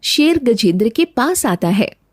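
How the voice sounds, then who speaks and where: Female storyteller for children's narration, high pitch, dry sound. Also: big pitch swings, a little slow, husky, dry recording.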